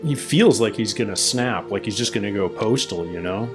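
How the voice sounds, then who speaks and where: A man with a fairly low voice gives casual running commentary on a television episode. He thinks aloud in short asides and questions, with clear music from the show playing behind him. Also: animated, normal speed. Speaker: reaction video host. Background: TV show soundtrack.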